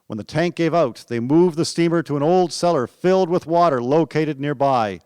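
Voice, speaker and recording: A man narrating a historical radio drama in a measured storytelling manner. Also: a little high, a little mellow, smooth, no room sound, studio quality. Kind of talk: scripted documentary drama narration.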